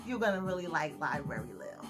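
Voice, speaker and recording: A woman's voice: sits low, sing-song, fairly quick, music in the background. A woman hosting a children's storytime, reading aloud in an exaggerated, singsong way and putting questions to her young listeners. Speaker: children's storytime host, author.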